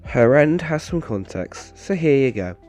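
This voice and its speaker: A man presents in a dry, deadpan manner at an ordinary male pitch. His voice sounds a little off, as he is getting over a bad cold. Music plays behind him. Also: unhurried. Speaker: video creator and narrator. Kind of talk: scripted narration with dry humour, casual outro.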